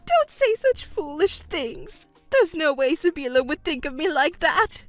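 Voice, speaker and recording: A woman voicing a selfish middle-aged stepmother who whines, wails and accuses in a shrill voice. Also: sing-song, a little slow, no echo, faint music behind. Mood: indignant. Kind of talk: narrated drama dub.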